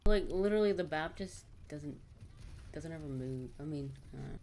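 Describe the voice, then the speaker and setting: A woman being coached on a game replay, chatting casually in quiet, short replies. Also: sits low, normal speed. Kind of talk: casual chat.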